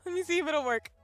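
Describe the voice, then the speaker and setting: A young woman streamer talking casually and animatedly to her chat, jumping between loud bursts and soft asides, often poking fun at herself. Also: very high voice. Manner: self-deprecating, reads out chat. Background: faint background music.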